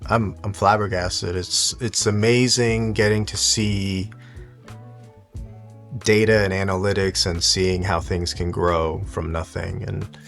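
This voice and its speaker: A man talking casually and reflectively in a monotone, low-key, unhurried way, like a personal video diary for his hobby channel. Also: gravelly, faint music behind. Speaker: YouTube watch collector and content creator. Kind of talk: casual reflective solo vlog talk.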